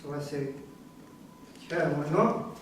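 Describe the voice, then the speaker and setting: An actor playing a young, street-hardened migrant prisoner in a staged reading, plain-spoken, with non-standard grammar and a defensive, pragmatic air. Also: male voice, fairly high pitch, slow pace. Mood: matter-of-fact, self-justifying. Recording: distant mic in echoey room, noisy.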